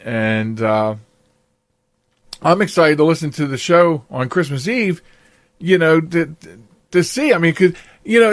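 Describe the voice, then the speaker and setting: Older man, slightly high voice, dry sound. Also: dry recording. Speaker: podcast host. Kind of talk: casual interview chat.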